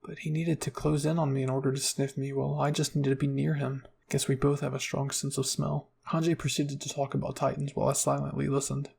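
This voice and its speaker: A man's voice: mid-range voice, monotone, a little fast, vocal fry, few pauses, darkish tone, slightly rough. A man narrating a scripted first-person fan fiction story as an ASMR roleplay reading.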